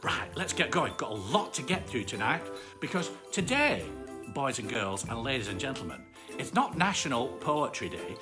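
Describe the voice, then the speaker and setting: A woman voicing a cheerful, excitable male armadillo who hosts children's bedtime stories, effusive and playful, with a British accent. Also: extremely low pitch, normal speed, music in the background. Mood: excited, welcoming. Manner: effusive, repeats words, playful. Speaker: bedtime story host. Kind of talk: live children's storytime chat.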